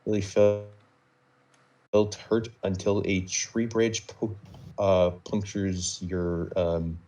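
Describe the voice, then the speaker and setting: A man recounting a roleplay story off the cuff on a live stream, halting and measured, wandering into small digressions. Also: sits low, flat delivery. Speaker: storyteller recapping a game server roleplay.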